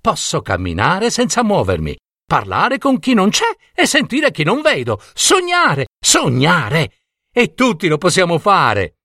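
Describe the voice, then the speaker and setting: A man's voice: fairly high pitch, huge pitch swings, a little slow, fairly bright tone, dry recording, studio quality, pitched above the performer's own voice, heavily acted. A man voicing a bewildered young girl who speaks eagerly and full of wonder.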